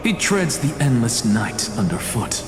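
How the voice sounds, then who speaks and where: An actor playing an imposing, solemn oracle in a game, declaiming prophecy. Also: male voice, mid-range voice, sing-song, a little slow. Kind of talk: scripted game drama. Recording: echoey hall reverb, music behind.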